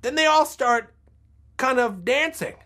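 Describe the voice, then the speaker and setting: High animated woman telling a comic story. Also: unhurried. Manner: animated, bursts into shouts and laughter.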